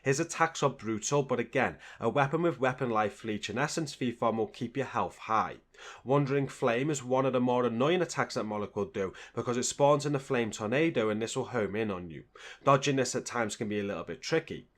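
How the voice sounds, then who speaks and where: A man's voice: medium pitch, a little fast. A man narrates a video game guide in a mid-range voice. His delivery is scripted and explanatory, like step-by-step gameplay commentary.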